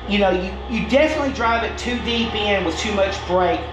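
A man's voice: high voice, fairly quick. Middle-aged man coaching over music. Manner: patient, explanatory, stop-start. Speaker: racing coach. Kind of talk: reviewing race footage, coaching. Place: indoor room at a desk with a laptop. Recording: roomy echo with music playing underneath.

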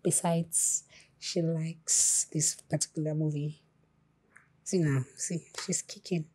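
A woman's voice: low voice, steady tone, takes it slowly, mellow, husky. A wife coaxing her husband playfully.

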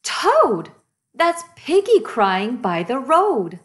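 Woman voicing an eager, high-pitched little blue truck. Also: huge pitch swings, very slow, full character voice. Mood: encouraging. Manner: bright exclamations, encouraging. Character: eager, kind, plucky little blue truck, male, childlike. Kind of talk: children's storybook character voice.

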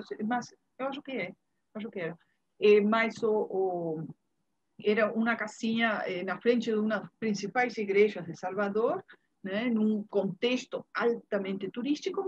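A woman in her fifties with a Uruguayan Spanish accent, a native Spanish speaker, reminiscing casually as an interview guest in a husky voice over a video call. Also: fairly high pitch. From Montevideo, Uruguay. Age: 50s. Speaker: interview guest, curator and art history professor. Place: indoor room, video call. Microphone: earphone headset on video call. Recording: dull lo-fi video call audio.

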